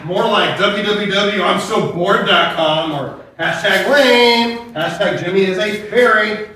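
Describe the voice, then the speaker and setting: A man's voice: sits high, slow pace, sharp tone. A man heckling as co-host in live comedy banter, bratty and sarcastic, often interrupting. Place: live show inside a comic book shop. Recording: very echoey live room with music.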